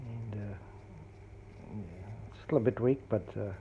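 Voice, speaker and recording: A man narrating a casual hands-on repair demonstration as a hobbyist, explaining things in a matter-of-fact, unhurried way. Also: lowish voice, fairly even intonation. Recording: dull lo-fi phone-video sound, faint noise.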